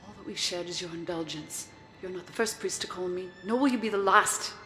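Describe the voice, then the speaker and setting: Bright-voiced, bitter, frightened woman. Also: fairly low pitch, brisk. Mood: bitter regret. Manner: reproachful, emotional. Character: bitter, frightened, scornful female prostitute. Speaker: actor. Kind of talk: dramatic film acting. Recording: echoey hall reverb, faint music.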